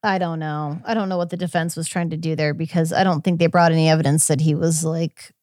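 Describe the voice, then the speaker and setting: A woman's voice: deep voice, level tone, quick pace, a little mellow, no echo. Casual woman podcaster, close dry sound. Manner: hesitant, self-correcting. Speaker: podcast host. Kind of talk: casual chat recapping a court case.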